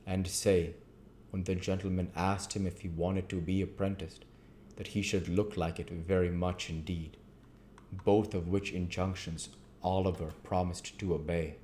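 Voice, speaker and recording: A man with a low-pitched voice narrates a classic novel. He reads scripted prose aloud as a storybook narrator. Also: flat delivery, a little slow, mellow, a little hiss or hum. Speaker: audiobook reader.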